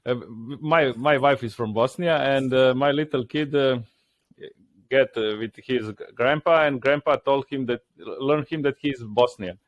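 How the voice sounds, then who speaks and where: A man from Dalmatia, co-hosting a casual comedy podcast and telling family stories in a halting way, repeating words and laughing. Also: medium pitch, muffled recording. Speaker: podcast co-host.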